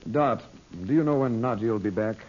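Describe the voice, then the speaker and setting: A man's voice: mid-range voice, a little fast, darkish tone, natural voice. A man playing a composed, inquisitive radio hero. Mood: assured. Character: composed, inquisitive male adventurer hero, magician. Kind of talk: old-time radio adventure serial acting. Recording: muffled old radio recording with hiss.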